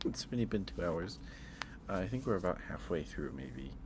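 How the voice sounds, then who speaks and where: A man with a low voice speaks softly in a casual ASMR livestream. He rambles a little, hesitating as he goes, and there is steady noise behind him. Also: normal speed. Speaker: live streamer and host.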